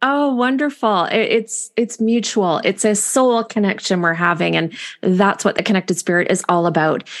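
Woman podcast host asking questions. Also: mid-range voice. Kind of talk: podcast interview.

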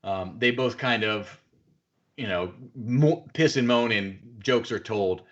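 A man's voice: fairly low pitch, slow, a bit bright, highs cut off. A man hosting a podcast, recapping a film in casual, chatty, wordy banter. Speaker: podcast host.

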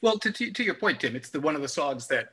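A man's voice: a little high, sing-song, fast, fairly bright tone, slightly rough. A man on a music podcast panel, talking casually and explaining his opinions.

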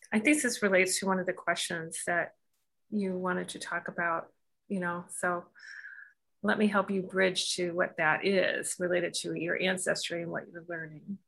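A woman host with a flat, gravelly voice asks long questions that wander and stall on fillers. Her voice sits somewhat low. She keeps the talk casual and open. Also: poor audio. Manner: meandering, hesitant questions. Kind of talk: casual conversation.

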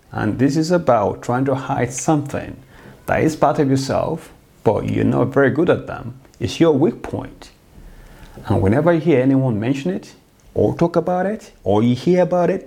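A man's voice: mid-range voice, gravelly, mellow. A man hosting his own podcast, giving an earnest, explanatory solo talk straight to his listeners. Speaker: podcast host.

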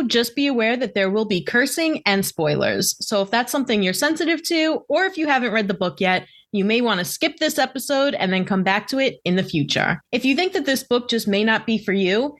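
A woman co-hosting a book podcast, reading the scripted intro and warnings in a brisk, friendly tone. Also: on the high side, fairly bright tone. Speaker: podcast co-host.

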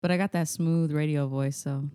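A woman's voice: low-pitched, steady tone, dark tone, clean tone, no echo. Easygoing woman podcast guest, dry sound. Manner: easygoing, quick to laugh and agree. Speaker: guest singer-songwriter. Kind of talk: casual podcast chat. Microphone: headphones on, studio mic.

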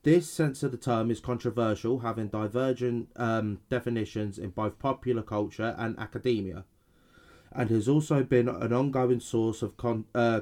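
A man's voice: mid-range voice, warm tone. A man co-hosting a podcast, sounding a bit nasal as he recovers from an illness, reading definitions from notes with some stumbles and restarts. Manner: reads definitions with hesitations and restarts. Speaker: podcast co-host.

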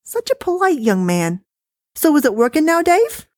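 A woman voicing a fussy, chatty older wife talking on the phone, high-pitched and bossy. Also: very animated, normal speed, dry recording, clean studio sound. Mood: chirpy, bossy.

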